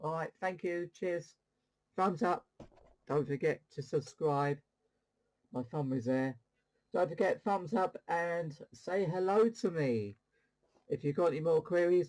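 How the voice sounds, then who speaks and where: Man with a higher-pitched voice, rambling. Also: measured pace, a little mellow, poor audio. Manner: rambling, repeats phrases. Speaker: CB radio channel host. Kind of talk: casual product demonstration.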